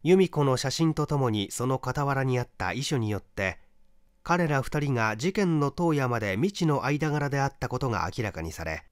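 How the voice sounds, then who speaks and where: A male narrator reads literary mystery fiction with dramatic, measured phrasing. His medium-pitched voice moves widely up and down. The recording is dry, with hardly any room sound. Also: no room sound. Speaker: audiobook narrator, stage actor. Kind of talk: scripted narration of a mystery short story.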